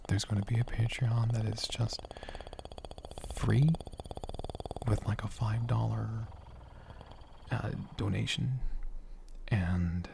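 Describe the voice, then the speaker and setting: A man speaking softly and gently in an ASMR style, breathy and often whispering, rambling unhurriedly as he answers questions off the cuff. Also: fairly low pitch, background noise. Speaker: ASMR creator and channel host. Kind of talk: soft-spoken ASMR, unscripted question-and-answer.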